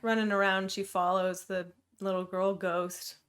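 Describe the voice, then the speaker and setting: A woman's voice: medium pitch, level tone, a little slow, a bit bright. A woman co-hosting a podcast, chatting casually and chiming in with short wry remarks about a film. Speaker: podcast co-host. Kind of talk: casual chat.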